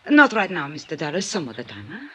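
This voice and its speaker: A woman's voice: sits low, huge pitch swings, fast. A woman playing an anxious, deferential, worn-out housekeeper in 1950s radio drama, speaking hesitantly and pleadingly. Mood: anxious, reluctant. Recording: noisy vintage radio recording.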